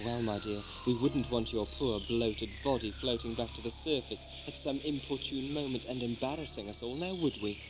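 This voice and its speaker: A man's voice: medium pitch, normal speed, few pauses, noisy background, close to an everyday voice. A man playing a cold, courteous plotter in an audio drama, speaking with formal, drily menacing precision. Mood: cold menace.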